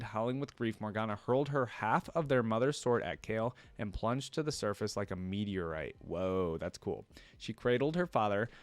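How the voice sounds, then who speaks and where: A man's voice: on the low side, dry recording. A man hosting a reaction, switching between reading a story aloud and informal commentary where he thinks aloud. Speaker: reaction video host.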